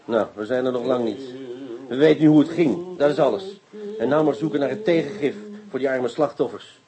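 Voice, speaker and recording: An actor playing a brusque, impatient, sardonic police inspector who easily gets excited, in a Dutch radio drama. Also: male voice, mid-range voice, fairly quick, crowd noise, close to an everyday voice. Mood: dry, sardonic. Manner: bossy, cursing, sardonic.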